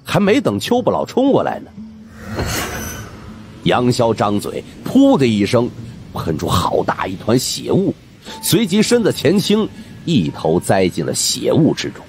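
A man with a husky voice narrating a supernatural mystery audiobook in a dramatic scripted style. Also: medium pitch, wildly swooping pitch, measured pace, darkish tone, soft background music.

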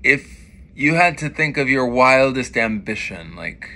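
A middle-aged man hosting a casual video-call interview, chatty and playful with quick-fire questions. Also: medium pitch, very animated, vocal fry, sharp tone. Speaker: host, pianist. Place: indoors, small room.

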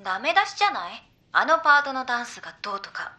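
A woman playing an excitable young female idol in a Japanese anime audio drama, with slangy, girlish, reactive chat. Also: on the high side, fast, heavily acted. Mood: nervous, gossipy.

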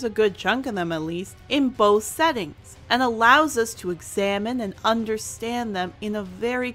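A woman's voice: on the high side, pushed and bright. A woman hosting a scripted review, speaking emphatically and stretching out key words. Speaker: reviewer, show host. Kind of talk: scripted review commentary over animation. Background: music.

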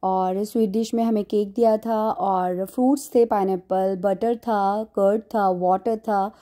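A woman's voice: mid-range voice, fairly even intonation, a little slow, no room sound, clean studio sound. A woman in her forties vlogging casually to a phone held at arm's length, chatting about her food and seats on a flight. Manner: chatty, describing food and seats as she films. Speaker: travel vlogger. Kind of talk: casual vlog chat to camera. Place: airport lounge and airliner cabin. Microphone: phone camera at arm's length. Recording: loud aircraft cabin noise. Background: airplane engine and cabin hum.

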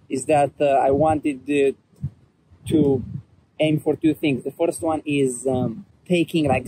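A young man hosting a casual outdoor conversation, reflective and questioning, with frequent ums and likes. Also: a little high. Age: young adult. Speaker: host. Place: outdoors under trees. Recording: loud outdoor ambient noise.

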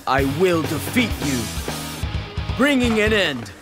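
Game duelist: defiant fighter, high swooping delivery, music clearly behind. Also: male voice, very animated, slow pace, full character voice. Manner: declamatory, forceful. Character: proud, determined warrior rising to a duel. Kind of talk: scripted video game battle acting.

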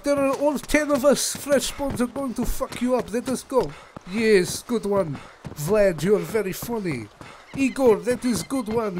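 A man's voice: high-pitched, darkish tone, slight background noise. A man putting on a Russian accent to play a crude, boastful male squad commander in broken English over in-game voice chat. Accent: put-on Russian. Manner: broken English, bragging, mocking.

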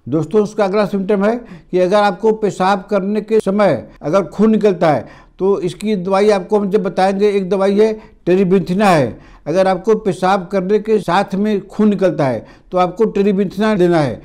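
A man's voice: sits high, normal speed, darkish tone. Older Indian man explaining homeopathic remedies. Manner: addresses viewers as friends, repetitive explanatory phrasing. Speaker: homeopathy doctor. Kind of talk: direct-to-camera health lesson. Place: indoor studio before a green screen. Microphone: lapel mic.

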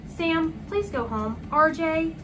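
A woman's voice: very high-pitched, lively intonation, takes it slowly, over music, voice pushed up, exaggerated voice. A woman voicing a stern mother who scolds her children emphatically in a children's storybook.

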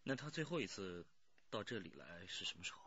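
An actor playing a probing, sceptical police detective, pressing a witness in a radio crime drama. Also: male voice, deep voice, very animated, sharp tone, highs cut off, a little hiss or hum, full character voice. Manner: brisk, pressing.

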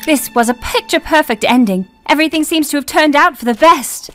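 An actress playing an upbeat young woman assistant in an animated game. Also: high voice, brisk, faint music behind. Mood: pleased.

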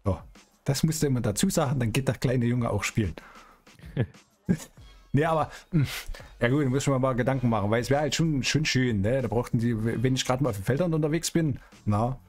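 A man's voice: medium pitch, brisk, a little mellow. A middle-aged man chatting casually and colloquially in improvised game role-play, with a teasing, grumbling manner. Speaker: streamer role-playing in an online game. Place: small indoor streaming room. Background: music in the background.

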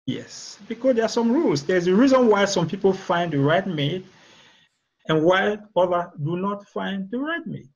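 High-voiced Cameroonian man, husky. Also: expressive, moderate pace, a little mellow. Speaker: guest author and relationship expert. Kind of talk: interview answers, explaining. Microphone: video call. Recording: dull, lo-fi video call audio.

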